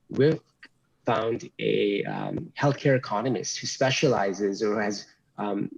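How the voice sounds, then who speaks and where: A man giving explanatory answers as an expert interview guest, in measured, formal wording, over slightly dull audio. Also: mid-range voice, gravelly, husky.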